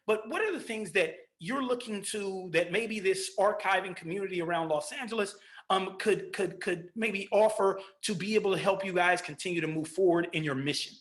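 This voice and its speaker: A middle-aged man with a notably high voice moderates a community panel, speaking in an animated, storytelling way. He often restarts his phrases as he builds toward his questions, like a college lecturer talking to an audience. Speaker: panel host and college history instructor.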